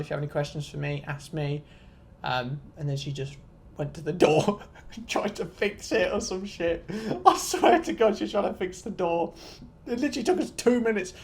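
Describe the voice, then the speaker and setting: Casting: vlogger in their twenties, medium-pitched voice, casual storyteller, rambling, self-deprecating, sweary. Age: 20s. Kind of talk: casual storytelling rant to viewers.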